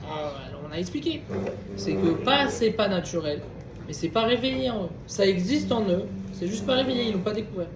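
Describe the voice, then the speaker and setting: High-voiced man teaching in an echoey room. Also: expressive, fairly quick. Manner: reads a passage, then explains it with questions to the group. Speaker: Torah class teacher. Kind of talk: reading Hebrew text and explaining in French. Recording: echoey room, distant mic, faint background noise.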